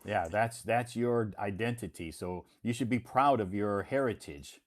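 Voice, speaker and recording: American male host, low-pitched and reassuring, easygoing and a bit repetitive. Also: a little slow. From United States. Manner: relaxed, reassuring, repeats himself often. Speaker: English teacher and host. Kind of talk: casual conversation lesson.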